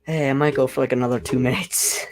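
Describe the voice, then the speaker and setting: A young man with a deep voice hosting a casual livestream, rambling and filling nearly every phrase with "like". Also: steady tone, fast. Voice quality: self-described deep voice. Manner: rambling, heavy use of "like". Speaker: livestream host.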